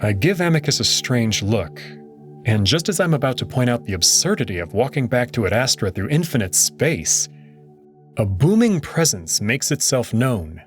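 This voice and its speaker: A man's voice: medium pitch, very animated, moderate pace, faint music behind. A man giving dramatic scripted narration as he reads a visual novel story aloud.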